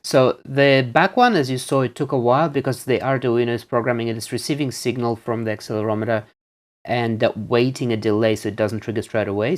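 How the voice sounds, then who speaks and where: A man talks through a hands-on product demo in an informal way. His medium-pitched voice explains step by step, with a halting flow as he goes. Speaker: presenter reviewing electronics on video. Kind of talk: casual hands-on product demonstration.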